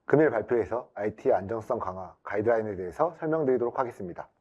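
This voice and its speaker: A man's voice: lowish voice, animated, fast talker, a little mellow, highs cut off. A man giving a formal, explanatory prepared webinar presentation as an IT specialist.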